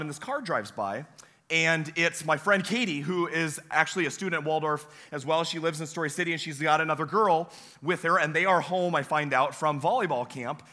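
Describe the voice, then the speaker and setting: A young man, a campus pastor preaching a sermon in a church with a hoarse, weakened voice, telling folksy, self-deprecating stories. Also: fairly high pitch, pushed and bright. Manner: folksy, self-deprecating storytelling with many uh fillers. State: hoarse, voice weaker than usual. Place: church sanctuary.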